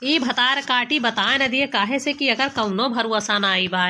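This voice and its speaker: A woman's voice: fairly high pitch, unhurried, bright, forceful tone, slight background noise. A woman voicing a young village daughter-in-law with an Awadhi accent, complaining dramatically and colloquially in an amateur cartoon comedy. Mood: flustered, evasive. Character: exasperated, embarrassed young village daughter-in-law, gossipy and scolding.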